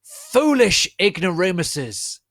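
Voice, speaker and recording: A man voicing a menacing, megalomaniac dark wizard lord who makes pompous, drawn-out archaic proclamations in a dramatic reading. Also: high-pitched, very animated, dry recording, studio quality, voice pushed up, full character voice. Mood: menacing, contemptuous.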